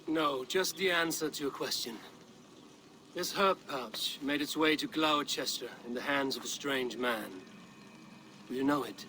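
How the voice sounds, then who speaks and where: A man's voice: mid-range voice, unhurried, pushed and bright, a little hiss or hum, low-quality recording. A man playing a proud, blunt Norse warrior in a video game, speaking in terse, formal phrases. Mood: composed, formal. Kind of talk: dramatic video game acting.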